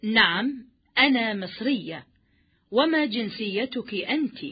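A woman's voice: on the high side, lively intonation, measured pace, slightly rough. A woman reading her part of a scripted textbook dialogue. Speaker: textbook audio speaker. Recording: dull, muffled lo-fi audio.

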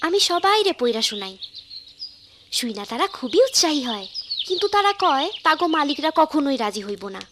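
A spirited little village girl from a cartoon, high-voiced and lively in her melody. She speaks with a rural Bangladeshi accent, earnest and animated, as if eagerly telling a story. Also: fairly quick, noisy background. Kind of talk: cartoon dubbing, expressive storytelling.

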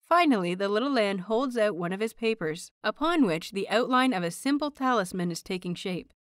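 Audiobook narrator, woman, medium pitch, expressive and measured with story prose, very dry sound. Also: dry recording. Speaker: audiobook narrator of fan fiction.

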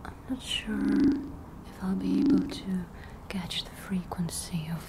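Female actor as young cyberpunk tattoo technician for ASMR roleplay: breathy whisper, very slow, close on mic. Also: mid-range voice, fairly even intonation, lightly acted, rough recording. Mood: calm, attentive. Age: young adult. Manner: slow, hushed, intimate close-up delivery. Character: soft-spoken cyberpunk tattoo removal technician, young woman, unhurried. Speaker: ASMR performer.

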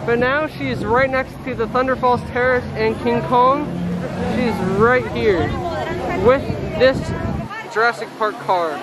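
A man's voice: very high voice, measured pace. A young man chatting casually as he walks a theme park and films with a handheld camera. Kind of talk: casual walking vlog narration. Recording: loud crowd and outdoor noise. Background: crowd and park noise.

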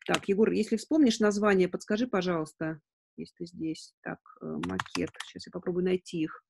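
A woman in her forties hosts an informal webinar over a video call, answering questions in a halting way as she thinks aloud. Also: lowish voice, steady tone, gravelly, darkish tone, a little hiss or hum. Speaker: webinar host. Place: indoors, room at home. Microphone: video call on a laptop.

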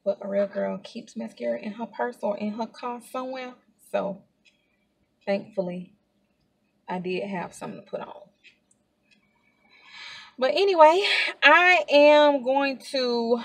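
A woman's voice: a little high, slow pace, vocal fry, pauses often. A woman in her thirties chatting casually to camera from inside a parked car, rambling with asides and filler words. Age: 30s. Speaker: vlogger.

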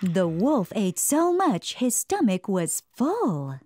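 A woman storyteller reading a scripted children's bedtime story in an expressive, dramatic way. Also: medium pitch, takes it slowly, no echo. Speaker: storyteller, narrator. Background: music.

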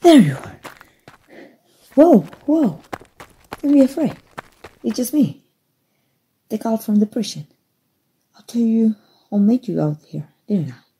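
A woman plays a kind, folksy rebel rescuer in an ASMR roleplay. The voice is very breathy and husky, dark and soft, with a creaky edge. The talk is hushed and coaxing. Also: on the high side, animated, slow, pauses often, heavily acted. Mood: reassuring. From Mexico. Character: kind human rescuer, gruff-talking, reassuring undercover rebel.

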